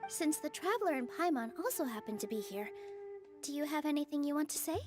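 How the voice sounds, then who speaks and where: A woman's voice: high voice, animated, fast talker, music in the background. An actress playing a polite young female contest host in a video game, speaking like a presenter. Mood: polite, upbeat.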